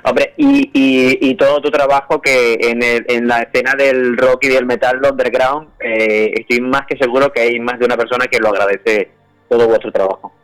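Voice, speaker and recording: A man's voice: medium pitch, fairly even intonation, normal speed, fairly bright tone, clear, resonant tone, low-quality recording. A man from Venezuela, a band founder, answering interview questions hesitantly, with many restarts. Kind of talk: casual interview over a call.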